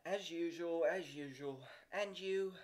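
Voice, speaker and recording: A man voicing a spiteful, greedy old washerwoman who answers curtly, in a voice pitched above his own. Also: slow pace, darkish tone.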